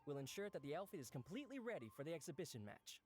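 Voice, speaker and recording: A man's voice: on the high side, big pitch swings, rapid-fire, darkish tone. An actor voicing an earnest, deferential young male organizer in video game dialogue, speaking formally and politely. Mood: resolved.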